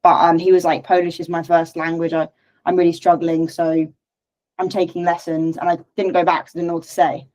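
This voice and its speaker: A woman's voice: fairly low pitch, level tone, fairly quick, darkish tone, low-quality recording. A young woman on a video call, chatty and a bit hesitant, asking her coach questions. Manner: chatty, hesitant, many fillers and restarts. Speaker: coaching client. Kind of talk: casual call.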